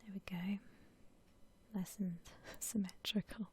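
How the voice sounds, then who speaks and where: A woman whispering softly in a breathy, gentle, unhurried way, musing aloud as she gives ASMR commentary on a game. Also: medium pitch, fairly even intonation, a little hiss or hum. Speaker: host of a gaming ASMR channel.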